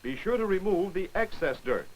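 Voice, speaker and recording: A man giving brisk, wry scripted narration for a 1940s military training film, on an old film soundtrack. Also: high voice, animated, poor audio.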